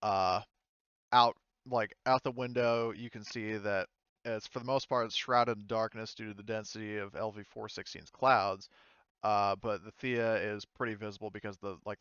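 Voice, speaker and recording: A man narrating a tabletop game casually as game master, halting and informal in his delivery. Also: fairly low pitch, fairly even intonation, a bit bright, clean tone, dry recording, muffled recording. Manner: halting, informal, mild swearing.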